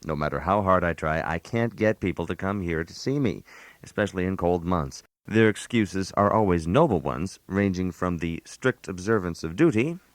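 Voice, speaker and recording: A put-upon, fussy host delivers a comic radio monologue in a low, close and dry voice. He is precise and mock-formal, handing out genteel etiquette advice with dry wit. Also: animated, normal speed, no room sound. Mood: wry, exasperated. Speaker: narrator. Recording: analog radio aircheck.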